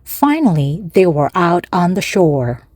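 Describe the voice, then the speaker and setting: A woman narrating a children's story slowly and clearly for language learners, with pauses between phrases. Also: fairly low pitch, very animated, darkish tone, dry recording, studio quality. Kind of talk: slow, clear read-aloud for language learners.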